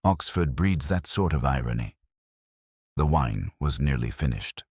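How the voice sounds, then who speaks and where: A man narrating as a melancholy, world-weary police inspector, in a measured, literary and solemn way. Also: very deep voice, animated, darkish tone, husky, no echo, studio quality, full character voice. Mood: somber, reflective. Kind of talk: scripted first-person detective narration.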